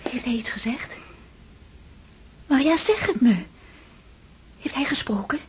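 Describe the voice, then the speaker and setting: A woman's voice: high-pitched, lively intonation, unhurried, slightly rough, heavily acted. An actress playing an eager young girl in a radio play, speaking in breathless, urgent whispers.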